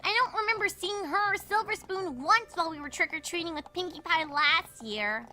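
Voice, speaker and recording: A woman voicing plucky, matter-of-fact young fillies in an earnest, animated way for a fan fiction reading. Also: extremely high pitch, normal speed, exaggerated voice.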